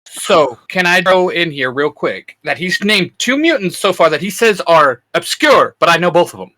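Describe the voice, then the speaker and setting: A man's voice: high-pitched, big pitch swings, normal speed, bright, forceful tone. A man co-hosting a casual comics chat, teasing and chipping in as he banters. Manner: teasing, interjecting. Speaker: co-host of a geek discussion show.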